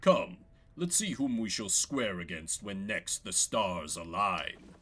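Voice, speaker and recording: A man plays a fatherly older gentleman who leads a band in a fantasy game. His speech is formal, eloquent and measured, with courteous phrasing and a pitch that dips low and moves freely. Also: lively intonation, husky, full character voice. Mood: grave encouragement. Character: Volfred, courteous fatherly older male leader.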